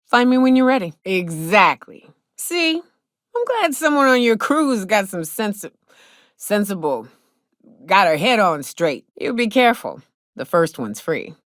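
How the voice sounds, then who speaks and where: An actress voicing a hard-drinking, wry frontier guide in a video game, with a blunt, folksy, sardonic drawl. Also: female voice, medium pitch, very animated, unhurried, a bit bright, no room sound, clean studio sound. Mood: brisk, teasing. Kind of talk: video game character dialogue.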